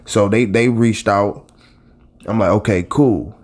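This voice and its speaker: Late-30s guy with a real low voice, rambling vlog talk, loose and chatty. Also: slow, darkish tone. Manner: rambling and conversational, with many restarts and asides. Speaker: YouTube blogger and channel host. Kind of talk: casual talk straight to camera.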